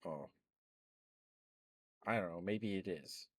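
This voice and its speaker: A man chatting in a soft, rambling ASMR style, close to the mic, with many fillers and small laughs. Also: low voice, slow, no echo. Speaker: ASMR streamer.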